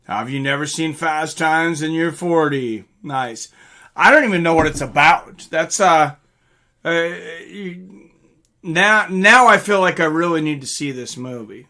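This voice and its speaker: A man's voice: a little high, measured pace, pushed and bright. A man hosting a live stream, chatting casually and reading out comments from viewers.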